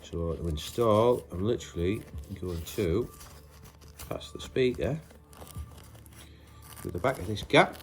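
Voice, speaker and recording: A man with a very deep voice talks through a hands-on installation job. He is casual and matter-of-fact, pausing and hesitating as he works, with no script. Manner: matter-of-fact, hesitant, talking while working with his hands. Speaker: presenter of a DIY installation tutorial. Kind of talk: casual unscripted how-to demonstration.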